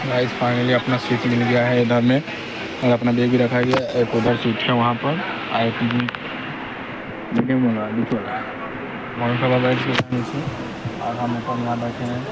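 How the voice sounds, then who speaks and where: A man's voice: completely flat delivery, normal speed. A man with a Bihari accent chatting informally to his viewers as a travel vlogger. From Bihar, India. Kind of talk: casual vlog chat to camera. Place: busy outdoor market, railway station and train. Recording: noisy station and street, vehicle rumble. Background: loud traffic, engine and station noise.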